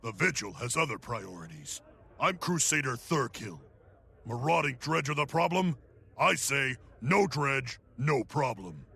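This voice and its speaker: Blunt, gravelly vengeful crusader. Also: male voice, medium pitch, pushed and bright, full character voice. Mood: grim, vengeful. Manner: blunt, emphatic. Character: vengeful, battle-hardened Norn crusader, blunt and grim. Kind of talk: fantasy game dialogue. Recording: game audio under clear music.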